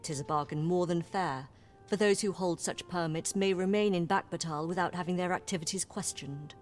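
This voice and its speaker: A woman playing a composed, courteous officer of an empress in a fantasy video game, speaking in measured, archaic, formal phrases. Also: fairly low pitch, a little mellow, faint music behind, natural voice. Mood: earnest, formal.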